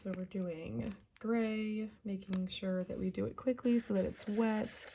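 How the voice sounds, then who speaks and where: A woman hosting a coloring channel, chatting casually while she works, with a raspy voice and a soft, relaxed, slightly self-conscious delivery that often drops to a half whisper. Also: mid-range voice, a little slow, slight background noise. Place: home.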